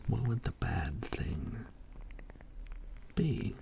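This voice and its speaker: A man with a low, deeply crackly voice drifts in and out of whispering as he tells a bedtime story for ASMR. His pace is slow and soothing, broken into short rambling phrases, spoken close to a sensitive microphone. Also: sing-song. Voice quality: breathy whisper mixed with low creaky voice. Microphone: close high-sensitivity microphones. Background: soft writing and tapping trigger sounds.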